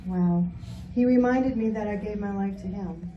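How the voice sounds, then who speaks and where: A woman's voice: medium pitch, moderate pace, dull audio. A woman performing scripted reader's theater as one of the readers in a church hall. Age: 50s. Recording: echoey hall from far off, noisy, background sound.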